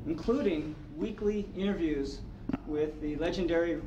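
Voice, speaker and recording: A man giving a halting, self-correcting introduction of a guest speaker at a club meeting. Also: fairly high pitch. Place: indoor club meeting room. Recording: roomy, noisy meeting room, far mic.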